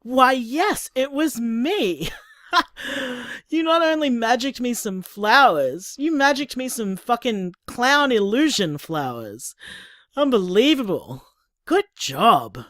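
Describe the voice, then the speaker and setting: A woman with an Australian accent playing a blunt, sweary young partner, talking in an animated, wry way. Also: on the high side, takes it slowly, dry recording, clean studio sound. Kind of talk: audio drama, voice-message monologue.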